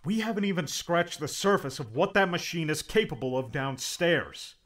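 Man acting a blunt, exasperated friend with lively pitch. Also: normal speed. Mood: insistent. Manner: blunt, scolding, insistent. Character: stern, exasperated older friend of a grieving young man. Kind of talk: audiobook character acting.

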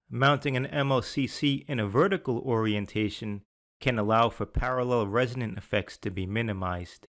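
Guy doing a steady scripted voice-over for a tech tutorial, middle pitch, calm and even. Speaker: sales and applications engineer. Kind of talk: scripted technical narration. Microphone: voice-over over slides and software screens.

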